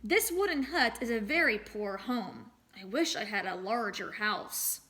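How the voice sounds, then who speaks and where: A woman's voice: mid-range voice, lively intonation, measured pace, bright, forceful tone. A woman reading aloud as a poor storybook flower seller, a wishful man growing greedy. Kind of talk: storybook read-aloud character voice.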